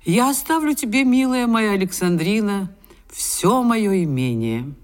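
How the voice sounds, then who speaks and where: Actress doing kindly countess in radio drama, slow talking, very tender and emotional, theatrical. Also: female voice, medium pitch, animated, natural voice. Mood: compassionate, solemn. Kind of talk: Russian radio drama acting.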